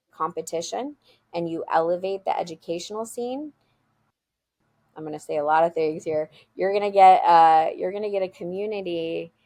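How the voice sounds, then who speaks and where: Earnest low woman with gravelly voice. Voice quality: loud voice. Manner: earnest, emphatic, restarts often. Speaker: school founder, podcast guest. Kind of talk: interview answers.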